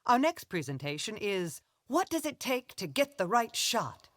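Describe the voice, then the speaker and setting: Woman announcer with a very lively delivery. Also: mid-range voice, normal speed. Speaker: event announcer. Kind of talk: scripted welcome announcement.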